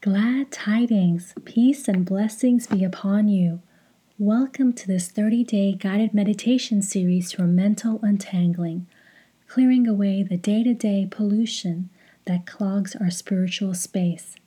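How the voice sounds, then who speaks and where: Female meditation guide. Slow, soothing, deliberate delivery with a breathy, velvety low-lit tone. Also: rough recording. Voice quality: hushed, breathy.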